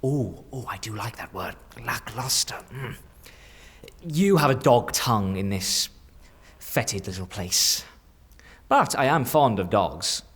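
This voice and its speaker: A man playing a sly, theatrical changeling in a dark fantasy audio drama, teasing and cryptic, lingering over words. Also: on the low side, lively intonation, a little slow, breathy edge, heavily acted. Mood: amused, teasing. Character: sly, theatrical shapeshifting changeling in a stolen man's body.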